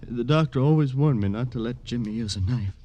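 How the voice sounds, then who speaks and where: An actor playing an anguished, guilt-ridden man in a radio drama, halting and pleading as he confesses. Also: mid-range voice, mellow, dull audio, natural voice. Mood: halting, remorseful. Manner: halting, pleading, tearful, repeats himself. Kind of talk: old-time radio drama acting.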